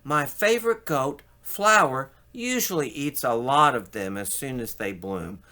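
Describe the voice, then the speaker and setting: A man as helpful woman farmer, lively pitch. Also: a little slow. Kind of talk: children's storybook read-aloud.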